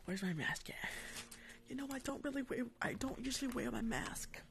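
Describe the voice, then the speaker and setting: A woman's voice: a little high, expressive, moderate pace, creaky, fairly bright tone, a little hiss or hum. A young woman whispers close to the microphone in an ASMR roleplay as a rude dental worker, sassy and chiding, often repeating short phrases. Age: young adult. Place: small room at home, indoors. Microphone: large tabletop microphone, very close.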